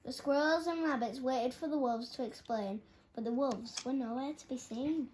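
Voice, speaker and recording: A woman reads a picture book aloud for children. Her voice is fairly high, and the recording has clear background noise. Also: moderate pace, a little mellow. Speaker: storybook reader. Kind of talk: children's story read aloud.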